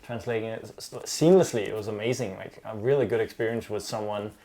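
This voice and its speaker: A man's voice: on the low side, fast talker, warm tone. Casual, curious man hosting a podcast. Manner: casual and curious, asks follow-up questions. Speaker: podcast host. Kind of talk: podcast interview.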